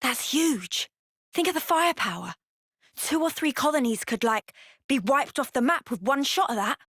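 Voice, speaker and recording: A woman's voice: high-pitched, lively intonation, moderate pace, fairly bright tone, dry recording. A woman with a British accent playing a blunt, tough young soldier in a video game cutscene. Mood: awed, uneasy.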